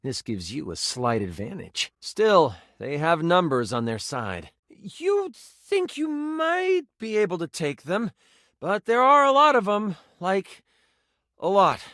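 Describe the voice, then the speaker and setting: A man voicing an excitable, nerdy game master, narrating tabletop fantasy dramatically and dropping into casual, hesitant asides. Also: high-pitched, slow pace, dry recording, studio quality, exaggerated voice. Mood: dramatic. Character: living D20 die, excitable nerdy male game master.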